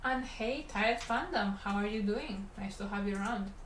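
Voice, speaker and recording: Catalan-accented woman, middle pitch, relaxed livestream chatter while working, roomy sound. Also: moderate pace. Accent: Spanish Catalan. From Catalonia, Spain. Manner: relaxed, rambling, talks to chat while working. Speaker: animator streaming her work. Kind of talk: casual livestream chat while drawing. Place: animation studio room. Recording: roomy, faint background hum.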